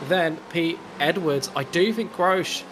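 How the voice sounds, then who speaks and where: Australian man commentating with a cold. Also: fairly high pitch. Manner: measured play-by-play, explains moves. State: has a cold. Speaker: race commentator. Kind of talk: live sim racing commentary. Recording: loud game car noise behind.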